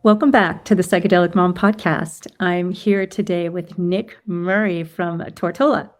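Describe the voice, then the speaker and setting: A woman's voice: lowish voice, fairly even intonation, moderate pace. A woman hosting an interview podcast who greets her guest, asks questions and affirms his answers. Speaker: podcast host.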